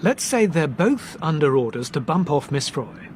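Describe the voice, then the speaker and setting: An actor playing a brisk young Englishman who reasons deliberately and articulately, in a British radio drama. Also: on the high side, big pitch swings. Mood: methodical, sceptical. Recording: noisy train background ambience.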